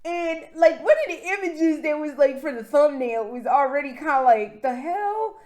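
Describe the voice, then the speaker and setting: A high-voiced woman recapping a strange video game in a casual vlog. She rambles, swears freely, and talks in an excitable rush. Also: moderate pace. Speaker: gaming vlogger.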